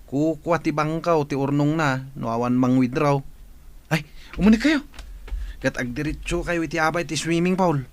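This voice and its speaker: A man's voice: a little high, moderate pace, close to an everyday voice. A man acting a gossipy, folksy household servant with a Filipino accent in a radio comedy drama. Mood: gossipy, welcoming.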